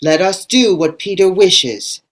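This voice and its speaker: A woman's voice: fairly low pitch, animated, a little slow. A woman playing a wide-eyed, dreamy young boy in an audio play, telling things earnestly. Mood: solemn.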